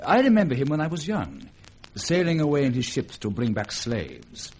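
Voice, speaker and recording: Polite gossipy french creole assistant, very lively delivery, old radio drama, bit of noise. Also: male voice, medium pitch. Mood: confiding. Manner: polite, dramatic, halting storytelling. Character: deferential, gossipy French Creole government aide, nervous storyteller. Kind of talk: vintage radio drama acting.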